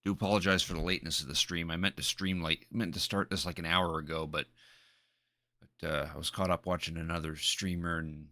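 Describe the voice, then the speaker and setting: Deep-voiced man, casual halting streamer chat. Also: sharp tone, no room sound, clean studio sound. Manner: hesitant, halting, repeats words. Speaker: live streamer.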